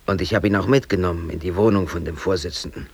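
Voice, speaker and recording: A man's voice: deep voice, fast, breathy edge. An actor playing a brooding, aggrieved bakery worker in his thirties, telling his story colloquially and intensely in a radio drama. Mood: bitter recollection. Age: 30s. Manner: colloquial, emotionally intense, self-justifying. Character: brooding, aggrieved East German bakery worker under arrest. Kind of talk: radio drama monologue, confessional narration.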